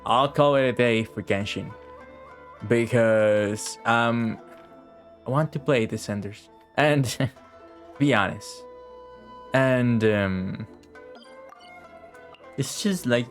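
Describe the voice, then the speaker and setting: A man giving casual, offhand live game commentary, swearing and muttering to himself as he plays. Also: medium pitch. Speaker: game streamer.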